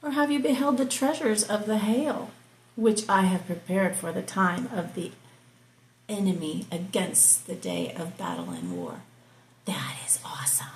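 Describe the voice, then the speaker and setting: A woman reading scripture aloud slowly and explaining it, stopping for casual asides and soft whispered remarks. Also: mid-range voice, sing-song, reverberant, low-quality recording. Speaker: Bible reading host. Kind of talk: slow scripture reading with commentary.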